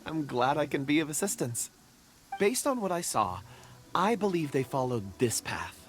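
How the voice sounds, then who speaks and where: A man's voice: a little high, very animated, unhurried, soft background music. A man voicing a shy, polite young man in a video game, hesitant and prone to stammering. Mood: explaining. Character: polite, shy young man who reads memories in ore.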